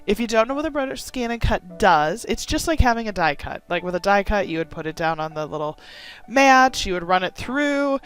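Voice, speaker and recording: A hoarse woman from Wisconsin hosting a casual card-making tutorial, talking with gushing enthusiasm. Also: mid-range voice, sing-song, a little fast, soft background music. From Wisconsin, USA. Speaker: craft channel host.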